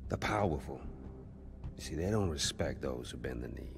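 A man playing a menacing, ambitious older crime boss in a video game, gravelly and deliberate, with theatrical pauses. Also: extremely low pitch, lively intonation, over music, heavily acted. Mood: menacing, grandiose. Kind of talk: video game dramatic dialogue.

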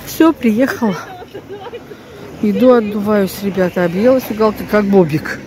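A woman's voice: medium pitch, normal speed, a crowd in the background. Older folksy woman, noisy handheld recording. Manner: folksy, rambling, exclaiming, playful diminutives. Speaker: vlogger. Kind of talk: casual chatty vlog, talking to herself. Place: shop, bus, snowy street, flat. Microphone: handheld phone.

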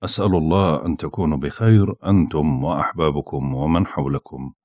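A man giving a daily astrology forecast. He sounds tired and unwell, and he talks slowly and haltingly, with frequent drawn-out "uh" pauses. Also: very deep voice, steady tone, a little mellow, slightly rough, no room sound, studio quality. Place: indoors, with possible street sounds outside.

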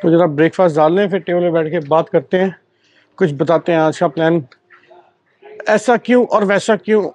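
A man's voice: a little high, moderate pace, darkish tone. A man chatting casually to viewers as a travel vlogger, often with a friendly "so, sir" filler, in a hotel breakfast area on a camera mic. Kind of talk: casual travel vlog chat. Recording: dull lo-fi sound with faint music.

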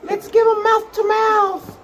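A woman's voice: very high-pitched, level tone, a little slow, background noise. A woman voicing a silly, cheeky, foul-mouthed hand puppet in a playful, exclaiming, sing-song way during an improvised puppet show. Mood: playful, teasing. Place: indoor corner with bookshelves, behind puppets.

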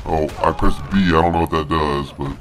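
A man giving joking, boastful let's play commentary through a pitched-down monster voice filter. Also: steady tone, brisk, slight background noise. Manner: joking, boastful, playful trash talk. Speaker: gamer.

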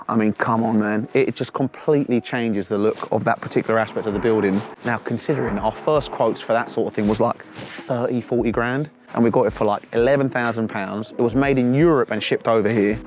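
Man, 30s, vlog host, enthusiastic, jokey, casual walkthrough, warehouse, middle pitch. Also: a little fast, hardly any pauses, a little hiss or hum. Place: warehouse under construction, indoors and on roof.

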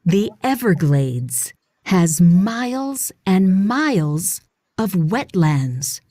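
Woman narrator for children's nonfiction read-along; extremely slow, animated intonation, dry studio sound. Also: lowish voice, dry recording. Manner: deliberate, expressive phrasing for young readers. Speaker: audiobook narrator.

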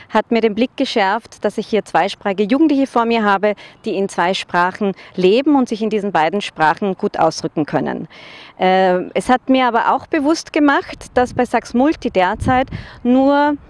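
A woman's voice: mid-range voice, darkish tone, dry recording. A middle-aged woman teacher from Vorarlberg with an Austrian German accent, speaking earnestly outdoors into a handheld microphone in front of a school. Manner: earnest, measured, with occasional hesitations. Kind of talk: personal testimonial to camera.

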